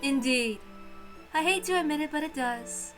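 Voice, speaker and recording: A woman voicing a sly, lofty witch who speaks in a drawn-out, mocking, amused way, in a visual novel read-aloud. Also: high voice, fairly bright tone, faint music behind. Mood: teasing, sly.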